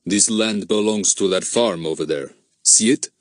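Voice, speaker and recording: Grim foreign heavy, from a male reader, lowered voice, clipped. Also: moderate pace, no echo, close to an everyday voice. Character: grim dark-eyed foreign man.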